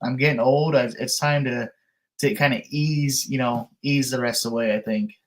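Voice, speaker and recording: Man, early thirties, medium-pitched, colloquial, down-to-earth, casual live-stream guest. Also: steady tone, moderate pace. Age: 30s. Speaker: guest, outdoor camping creator. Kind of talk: casual live-stream chat about camping.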